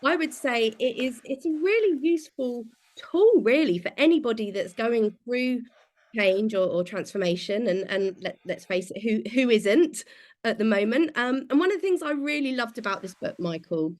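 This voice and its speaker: A woman's voice: on the high side, expressive. A woman hosting a webinar, introducing the guest speaker enthusiastically with small restarts. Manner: warm, enthusiastic, slightly halting. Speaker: webinar host. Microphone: video call.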